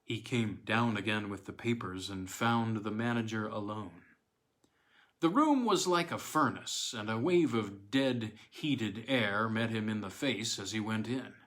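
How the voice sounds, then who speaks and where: A man reading a classic horror story aloud as audiobook narrator, in a measured, deliberate way with dramatic pauses. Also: lowish voice, expressive, fairly bright tone.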